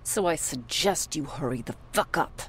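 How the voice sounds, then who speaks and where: A woman playing a cold, domineering medic in an ASMR roleplay, speaking in a breathy, condescending near-whisper with quiet menace. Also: sits low, animated, normal speed. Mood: curt, impatient. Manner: condescending, menacing, coolly authoritative. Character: cold, sadistic, domineering woman medic running a captive operation.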